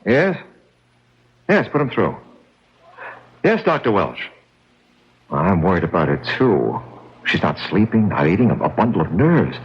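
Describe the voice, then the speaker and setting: An actor playing an earnest, concerned fiancé in a radio mystery, with a dramatic manner. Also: male voice, deep voice, sing-song, measured pace, long pauses, husky, heavily acted. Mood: worried. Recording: dull lo-fi radio with music.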